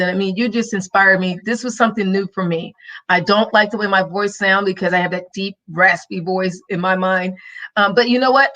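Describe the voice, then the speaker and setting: A woman hosts her podcast over a video call, speaking at a mid-range pitch. Her delivery is emphatic and sermon-like. She builds her points in rhythmic, faith-filled phrases. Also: a bit bright, poor audio. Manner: preachy and emphatic, faith-filled motivational cadence. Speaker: podcast host and minister. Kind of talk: live-streamed motivational talk.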